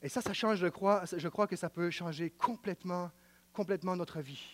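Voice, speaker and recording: High-voiced Quebec French preacher. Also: male voice, moderate pace, a little hiss or hum. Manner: emphatic, repetitive, swings between loud declaiming and quiet intimacy. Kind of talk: sermon.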